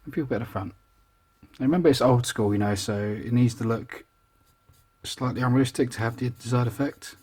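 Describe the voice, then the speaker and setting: Husky chatty man talking through a drawing. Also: medium pitch, fairly quick, darkish tone. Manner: chatty, self-deprecating, talks through each step. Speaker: drawing tutor. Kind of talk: casual real-time drawing tutorial. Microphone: voice over the drawing, not seen speaking.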